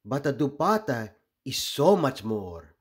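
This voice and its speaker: A man from the Philippines reading a children's picture book aloud expressively, pausing deliberately between short phrases. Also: mid-range voice, very animated. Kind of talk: expressive storybook read-aloud.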